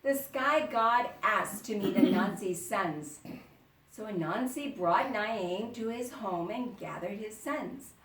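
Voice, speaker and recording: A woman teacher reading a story aloud to children with dramatic expression, pausing to explain words and ask questions. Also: mid-range voice, a bit bright, a little hiss or hum. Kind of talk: animated children's read-aloud with questions to listeners. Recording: roomy echo, distant microphone.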